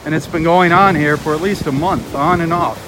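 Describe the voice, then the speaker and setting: A man's voice: fairly high pitch, moderate pace. A man hosting a live walking video on a beach, talking in an earnest, dismayed way as he goes. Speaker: beach walk video host. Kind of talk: live walking vlog commentary. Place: outdoors on a windy beach by the surf. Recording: wind and surf noise on the mic. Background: crashing waves and wind.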